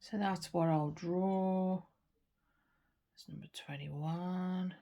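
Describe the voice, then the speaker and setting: A woman's voice: on the low side, steady tone, very slow, gravelly, mellow. A woman chatting casually to camera while she works on a craft kit, murmuring and trailing off, a little sniffly. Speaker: craft vlogger.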